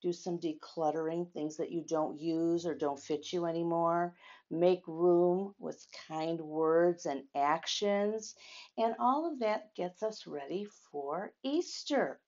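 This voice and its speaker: A woman teaching and reading a story aloud to young children, speaking in simple, deliberate phrases and asking them questions. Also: lowish voice, darkish tone, lo-fi sound.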